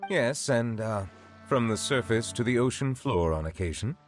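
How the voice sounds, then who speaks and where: An actor playing a dignified, solemn, fatherly chief judge in a video game, speaking formally and in measured, earnest phrases. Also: medium pitch, expressive, faint music behind, lightly acted. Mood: earnest, awkward. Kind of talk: scripted video game voice acting.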